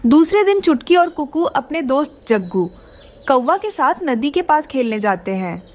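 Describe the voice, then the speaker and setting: A woman's voice: high-pitched, big pitch swings, moderate pace. A woman narrating a scripted children's cartoon story.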